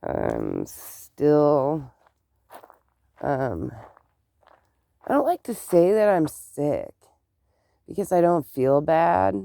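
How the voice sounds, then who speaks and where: Congested vlogger rambling on slow, mellow smooth voice, lots of oh my gosh. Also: medium pitch, huge pitch swings. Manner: chatty, meandering, exclaims often. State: congested, stuffed-up nose and clogged ears. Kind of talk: casual rambling chat to camera.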